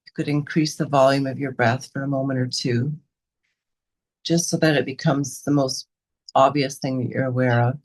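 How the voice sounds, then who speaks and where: A woman guiding a meditation as a teacher, giving unscripted gentle instructions in short, unhurried phrases with pauses between them. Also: very deep voice, fairly even intonation, rough recording. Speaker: meditation and yoga teacher leading a guided session.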